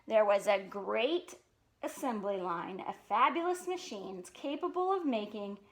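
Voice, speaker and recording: A woman's voice: a little high, fairly bright tone. A woman hosting storytime, reading a children's storybook aloud expressively and adding casual asides. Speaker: storytime host.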